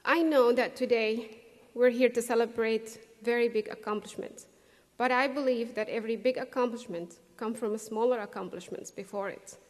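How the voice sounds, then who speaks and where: Middle-aged woman, English as a second language, earnest convocation speaker, slightly high voice, long hall reverb. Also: steady tone. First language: not English. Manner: earnest, measured, with pauses for emphasis. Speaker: graduation speaker. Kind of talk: prepared convocation speech. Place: large hall. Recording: distant echoey hall recording.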